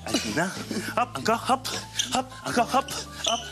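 A man's voice: extremely high pitch, sing-song, moderate pace. A man in his thirties coaching exercise in a playful, encouraging way while moving around. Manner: physically active while talking. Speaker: fitness coach on a TV parenting show. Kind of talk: demonstrating exercises to a mother and young child. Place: living-room style TV studio. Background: music.

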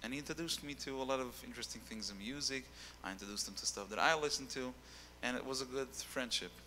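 Bright-voiced man on a reverberant stage. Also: medium pitch, quick pace, a little hiss or hum. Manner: rambling, repeats words while searching. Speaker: guest singer. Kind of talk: casual interview on stage. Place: dark stage with keyboard. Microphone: handheld mic.

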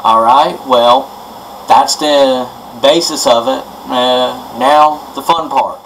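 A man presenting an informal hands-on electronics tutorial in a halting, improvised way, recorded on amateur camera audio at a workbench indoors. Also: mid-range voice, fairly bright tone, slight background noise, low-quality recording.

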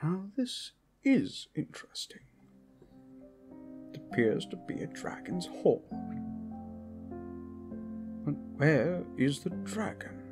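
A man's voice: on the high side, sing-song, takes it slowly, pauses often. A man playing a grumbling, scheming old wizard who muses theatrically over his orb in an ASMR fantasy roleplay, often slipping into whispers. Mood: scheming, intrigued. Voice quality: breathy, husky. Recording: music behind the voice.

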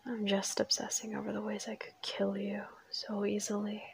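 A woman voicing a crazed, teasing assassin kidnapper in an intimate audio roleplay, whispery and unhurried, with a taunting menace. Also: mid-range voice, monotone, slight background noise, natural voice. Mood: cold, teasing. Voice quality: breathy, whispery. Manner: taunting, unhurried, coolly threatening.

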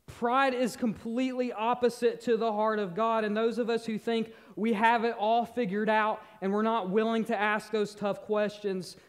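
A young man preaching a sermon on a church stage, earnest, shifting between loud emphasis and soft, near-whispered moments. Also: high voice. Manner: paces the stage gesturing with both hands. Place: church stage in a large hall.

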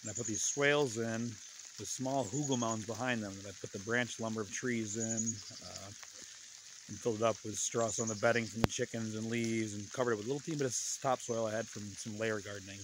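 A man in his fifties with a low voice ambles through a wooded hillside garden and talks in a relaxed, plain-spoken way. He holds a phone out at arm's length as he walks. A faint outdoor hiss sits under his voice. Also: a little fast. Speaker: permaculture farmer. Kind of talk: casual walking garden tour. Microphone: phone held at arm's length.

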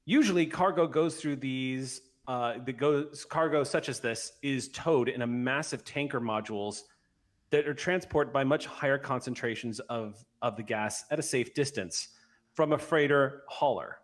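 A man's voice: mid-range voice, normal speed. Middle-aged man narrating as game master. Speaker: game master of an online roleplay session. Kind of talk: narrating a tabletop roleplay game. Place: indoor room, on a group video call. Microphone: microphone on an arm, close to mouth.

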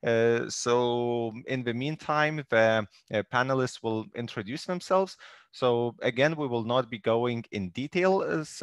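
A man in his thirties moderating an online panel over a headset, pausing often with fillers. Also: medium pitch, clean tone, dry recording. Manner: halting, many "uh" fillers. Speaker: webinar moderator. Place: video call.

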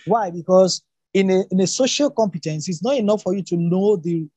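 A man who grew up speaking Yoruba talks through ideas in an academic discussion. His voice is high for a man and mellow in tone, recorded dry and close. He is emphatic, circling back to rephrase his points. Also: fairly quick, no room sound. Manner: emphatic, repeats and rephrases, poses rhetorical questions.

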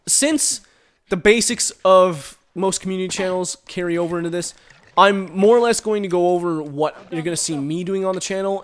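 A man giving casual, rambling commentary while playing a game, recorded on a headset and reacting loudly to the action. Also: a little high. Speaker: gaming commentator.

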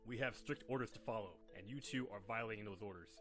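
A woman's voice: very deep voice, fast, over music. A woman playing a stern, officious pony royal guard in a cartoon audio drama, commanding and clipped. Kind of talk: animated audio drama acting.

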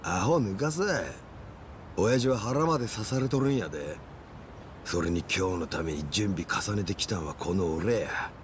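An actor playing a fiery, loyal yakuza underling in a game, rough-spoken and emotional, with a strained gravelly voice. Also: male voice, deep voice, expressive, normal speed, slight background noise. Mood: defiant.

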